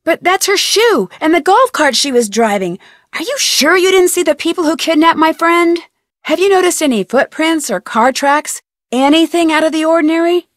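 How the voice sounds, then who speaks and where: A woman's voice: very high-pitched, lively intonation, normal speed, dry recording, studio quality. A woman playing an inquisitive, persistent young amateur detective in a video game, speaking in a polite, earnest way. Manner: polite, earnest, crisply questioning. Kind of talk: video game dialogue voice acting.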